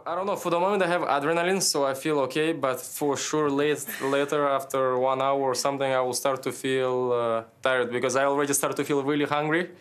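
A young man in his twenties talks through his match over a video link from a press room, and his pitch sits right in the middle of the male range. He rambles and hunts for the right words, restarting sentences as he goes. He laughs now and then, but the delivery stays loose and unscripted. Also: steady tone, brisk, few pauses, fairly bright tone, smooth. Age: 20s. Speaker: tennis player. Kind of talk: post-match interview. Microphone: remote video link, head and shoulders.

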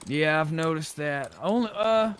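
A man's voice: on the high side, lively intonation, measured pace, a bit bright, a little hiss or hum. A man chatting casually with other players during an online zombie survival roleplay game.

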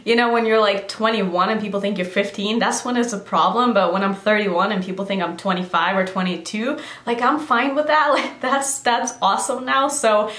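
Casting: thirties woman vlogger, mid-pitched, loose and filler-heavy, recorded in a roomy space. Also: steady tone, normal speed, hardly any pauses, fairly bright tone. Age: 30s. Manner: chatty, lots of "like" fillers. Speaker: beauty vlogger. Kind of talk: casual Q&A vlog. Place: decorated room indoors. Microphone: camera framing head and shoulders.

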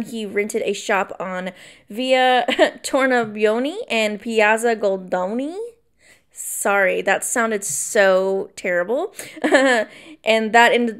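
A young woman talks into a desk mic in her bedroom. Her voice sits at a middle pitch and sounds smooth and clear. She rambles through the story with ums, side remarks and the odd curse. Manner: rambling, casual, swears freely, frequent ums and asides. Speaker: film vlogger. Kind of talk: casual film review and history rundown.

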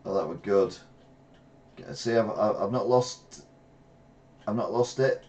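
A middle-aged English man streaming a retro racing game, reacting excitably and trash-talking rivals from a gaming chair at home. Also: fairly low pitch, slow pace. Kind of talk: live gameplay commentary. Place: small room at home, seated in gaming chair.

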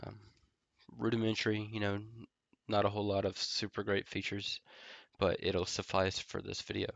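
A stuffy, nasal man with a cold, talking in a low, gravelly voice that stays fairly flat. He walks through a networking tutorial in a relaxed way, full of ums and small pauses. The sound is dry and a bit dull, like a desktop mic in a home office. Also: no echo. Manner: relaxed, filler-heavy explaining while typing commands. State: congested from a head cold. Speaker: network engineer tutorial host. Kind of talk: casual screen-recorded tech tutorial.

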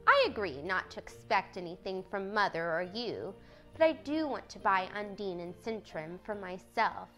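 A woman's voice: mid-range voice, animated, normal speed, sharp tone, soft background music. A woman voicing a blunt, bookish, tomboyish teenage girl, emphatic and defiant, in a storybook reading. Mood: eager.

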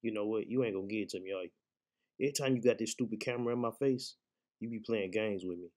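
A man's voice: on the low side, fast, darkish tone, lightly acted. Man voicing an indignant, slangy pet dog. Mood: annoyed. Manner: stammering, complaining, slangy. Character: indignant male pet dog talking back to his owner. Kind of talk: comedic animal voiceover.

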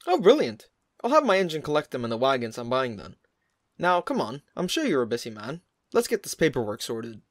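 A man's voice: mid-range voice, wildly swooping pitch, no room sound, clean studio sound. A man doing a sly, polite railway boss. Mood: brisk courteous. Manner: courteous, brisk, sly. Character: polite scheming male railway owner.

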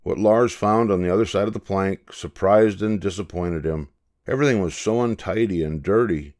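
Older man, deep voice, children's storybook narration, measured, expressive. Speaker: storybook reader. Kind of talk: scripted narration of a children's picture book.

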